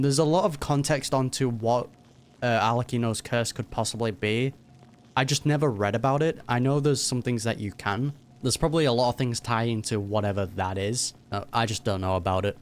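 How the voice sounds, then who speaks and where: A man's voice: medium pitch, a little hiss or hum. A man giving casual, musing commentary as he reacts to a game, speaking informally. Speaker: gaming reaction YouTuber.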